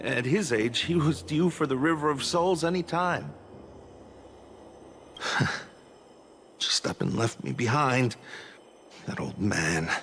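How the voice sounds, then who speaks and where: An actor playing a busy, exasperated grown son in a video game, blunt and grumbling. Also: male voice, medium pitch, expressive, slow, long pauses, fairly bright tone. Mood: stunned, grumbling. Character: busy, flustered city worker, gruff exasperated grown son. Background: background music.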